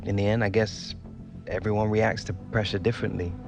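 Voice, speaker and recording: An actor playing a young English footballer in a game cutscene, guarded and sincere, speaking in a measured, hesitant way. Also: male voice, fairly low pitch, level tone, vocal fry. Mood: reflective, sincere. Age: young adult. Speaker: interviewee. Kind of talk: naturalistic video game cutscene acting. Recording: music behind.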